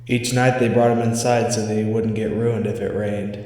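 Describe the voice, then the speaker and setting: A man's voice: on the low side, little pitch movement, moderate pace, warm tone. A man narrating a scripted spooky story as a storyteller. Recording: echoey reverberant hall sound.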